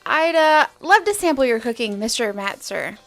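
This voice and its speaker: A woman's voice: on the high side, sing-song, moderate pace, soft background music. A young woman playing a nervous, awkward alien student who stammers and hesitates, in an audio drama. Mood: flustered. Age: young adult.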